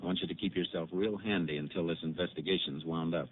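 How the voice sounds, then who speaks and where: An actor playing a steady Texas Ranger investigator, calm, clipped and matter-of-fact, in a 1950s radio drama. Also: male voice, low-pitched, quick pace, a little hiss or hum, lightly acted. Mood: firm. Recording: tinny old radio broadcast.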